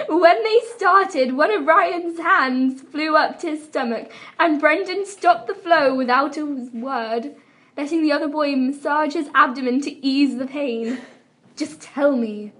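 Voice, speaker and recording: A young woman with a high voice reads fan fiction aloud as an amateur. She performs it as a dramatic reading. Her delivery is giggly and prone to breaking up. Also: slow pace, a bit bright. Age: young adult. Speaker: amateur reader. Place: room at a school.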